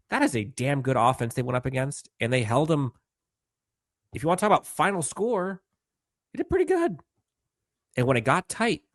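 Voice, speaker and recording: A man co-hosting a sports podcast, animated and building long emphatic runs of points. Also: mid-range voice, no room sound, studio quality. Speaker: podcast co-host. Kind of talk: excited sports podcast talk.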